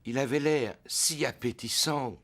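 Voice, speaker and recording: A man's voice: mid-range voice, unhurried, fairly bright tone. A man voicing a jovial, good-natured father pig in a children's cartoon, with a hearty manner. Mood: sheepish, genial. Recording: music behind the voice.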